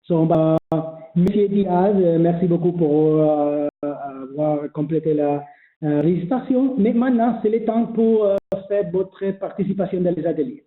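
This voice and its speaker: A man's voice: on the high side, a little slow. A man who is not a native French speaker, presenting a webinar and explaining online registration, hesitant with many fillers and restarts. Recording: echoey phone-like webinar audio.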